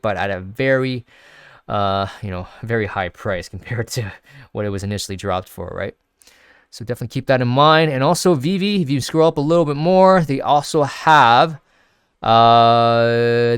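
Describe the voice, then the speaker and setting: A man's voice: medium pitch, clean tone, no room sound, clean studio sound. Sniffly man rambling through a screen share. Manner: rambling, thinks aloud with many fillers and restarts. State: sniffly, sneezing. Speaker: crypto video host. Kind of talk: casual screen-share commentary.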